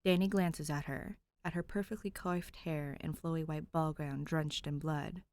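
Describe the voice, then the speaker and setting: A woman's voice: low voice, steady tone, normal speed, darkish tone. A woman podfic reader narrating fan fiction expressively, with an informal, conversational touch.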